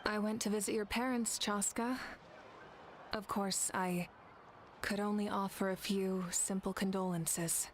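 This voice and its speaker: A woman voicing a calm compassionate leader. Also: mid-range voice, measured pace, background noise, lightly acted. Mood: composed. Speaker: ruler addressing her people. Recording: with loud game music.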